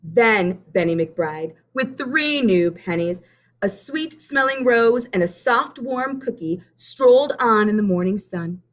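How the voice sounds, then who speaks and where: A woman teacher reading a picture book aloud to children, stopping to count coins with them. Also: medium pitch. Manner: slow and expressive, pausing to count coins. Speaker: primary math teacher. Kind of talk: children's read-aloud lesson.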